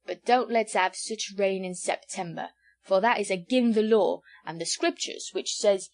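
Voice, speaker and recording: A woman doing a doleful old rustic villager, quiet and grumbling. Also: medium pitch, normal speed, lightly acted. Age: 70s. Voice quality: quiet, mournful, rustic drawl. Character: elderly male village sage, doleful and pompous.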